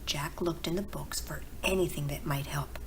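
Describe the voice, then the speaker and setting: A woman narrating a bedtime storybook in a soft, breathy, gentle storytelling manner. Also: low voice, fairly even intonation, normal speed. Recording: clearly noisy background with ambience.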